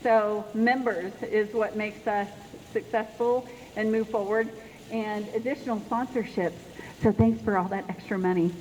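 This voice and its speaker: A soft-spoken woman with a Southern Indiana accent giving an unhurried, chatty report as executive director at an annual meeting in a banquet hall. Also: medium pitch, fairly even intonation. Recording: reverberant hall with faint background noise.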